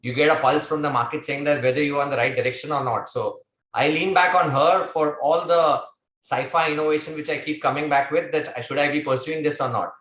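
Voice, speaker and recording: A man's voice: on the high side, steady tone, fairly quick, fairly bright tone. A man moderating a webinar panel, talking enthusiastically and conversationally. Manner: enthusiastic, effusive praise, conversational. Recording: echoey room sound.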